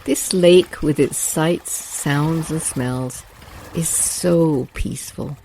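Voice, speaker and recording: A woman guiding a meditation in a slow, soft, soothing voice. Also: low voice, a little hiss or hum, poor audio. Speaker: meditation guide. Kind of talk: guided meditation narration.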